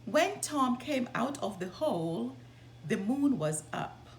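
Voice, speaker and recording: A woman reading a children's story aloud as a storytime host, with expressive, deliberate delivery. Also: on the high side, a little hiss or hum.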